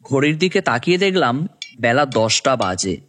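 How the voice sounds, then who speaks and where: A man's voice: medium pitch, sing-song, moderate pace, a bit bright. A man voicing an earnest, hesitant young man who narrates his own cartoon tale. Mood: reflective. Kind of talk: first-person story narration with acted dialogue. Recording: music bed behind voice.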